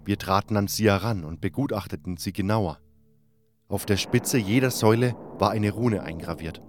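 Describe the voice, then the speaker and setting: Low-voiced male fantasy narrator. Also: moderate pace, noisy background. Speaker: first-person narrator. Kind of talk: scripted fantasy audiobook narration. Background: background music.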